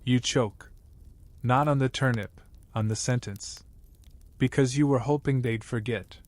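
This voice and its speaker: A man narrating a scripted bedtime story in a measured, wry way. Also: medium pitch.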